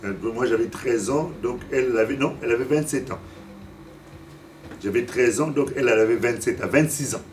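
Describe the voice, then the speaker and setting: A man's voice: medium pitch, fairly quick, slight background noise. A man in his fifties from Africa preaching a Sunday sermon, stumbling and repeating words as he searches for them and putting questions to the congregation. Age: 50s. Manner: asks the congregation direct questions. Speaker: pastor. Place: church service. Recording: distant mic with faint room ambience.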